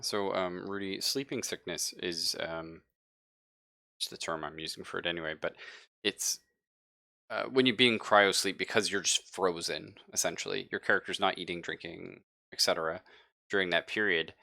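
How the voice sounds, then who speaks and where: A man's voice: sits low, steady tone, normal speed, gravelly, sharp tone, dry recording, studio quality. A man speaking as game master, improvising narration of a tabletop game in an informal, explanatory way.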